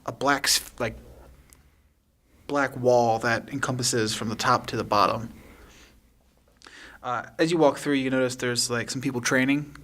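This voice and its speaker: Man, game master, average pitch, improvised scene-setting, casual wording, halting delivery. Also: a bit bright. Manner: halting, informal descriptions. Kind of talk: improvised narration of a tabletop game.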